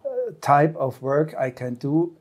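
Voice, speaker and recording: A man's voice: mid-range voice, fairly even intonation, measured pace, darkish tone. A middle-aged male psychiatrist answering as a guest on an expert panel, earnest and reflective, with his phrases often trailing off.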